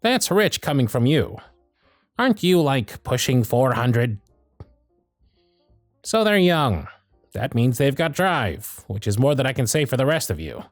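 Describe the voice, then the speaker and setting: Man acting a lively, gruff-but-fond elf supervisor. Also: mid-range voice, no room sound, studio quality, soft background music. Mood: stern, sardonic. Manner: brisk, teasing, bossy with soft asides. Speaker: voice actor. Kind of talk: ASMR roleplay audio drama.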